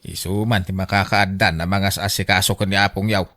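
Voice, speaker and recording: A man's voice: low voice, level tone, fairly quick, pushed and bright. A man acting a scheming grown son in a family radio drama, coaxing and insistent in his delivery.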